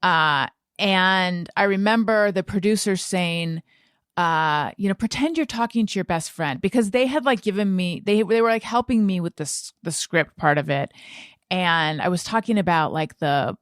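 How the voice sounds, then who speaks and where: Woman, slightly low pitch, casual podcast chat, rambling, self-deprecating, dry sound. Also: normal speed, clear, resonant tone, no room sound. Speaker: podcast host.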